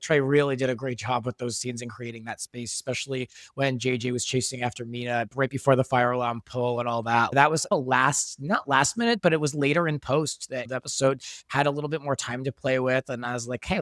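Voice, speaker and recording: A man's voice: medium pitch, fairly even intonation, brisk, few pauses, fairly bright tone, dry recording, clean studio sound. A man talking casually as a show creator being interviewed about a production. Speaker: show creator and podcast guest.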